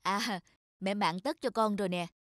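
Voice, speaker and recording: A woman's voice: mid-range voice, moderate pace, dry recording, natural voice. Woman acting a firm, caring cartoon mom, close and dry. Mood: gentle, caring. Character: firm but caring mother of young twin boys. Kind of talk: animated children's cartoon dubbing.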